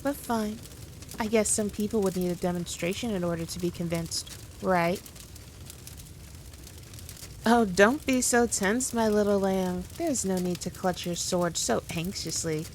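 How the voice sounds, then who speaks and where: A woman's voice: medium pitch, close to an everyday voice. Woman voicing a haughty demon queen, noisy recording. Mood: taunting, coaxing. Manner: condescending, theatrical, deliberate with drawn-out phrases. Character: powerful demon queen, haughty, teasing, dominant, toying with her foe. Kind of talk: scripted ASMR roleplay addressed to the listener.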